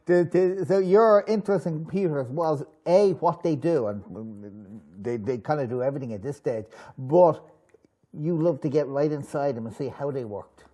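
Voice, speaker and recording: A man's voice: fairly high pitch, moderate pace, mellow. A middle-aged man with an Irish accent, hosting a business interview in a chatty, rambling, conversational way. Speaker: business show host. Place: TV studio in a hotel.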